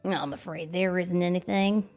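A woman voicing Tobin, a shy, flustered male woodland creature in a storybook, talking haltingly and slowly. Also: on the low side, a little mellow, faint music behind, lightly acted. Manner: halting, stammering, slow.

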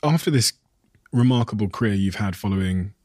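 A man's voice: fairly low pitch, lively intonation, fairly quick, mellow, no room sound, studio quality. A man hosting an interview, asking questions thoughtfully and searching for words as he frames them. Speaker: interview host.

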